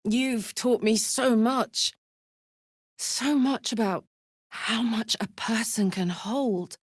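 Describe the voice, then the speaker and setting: An actress playing a brash, warm-hearted, battle-hardened tiefling barbarian woman in a video game, blunt, cheeky and earnest. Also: on the high side, fairly even intonation, takes it slowly, slightly rough, dry recording, studio quality. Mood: tender, earnest. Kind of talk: scripted game character voice lines.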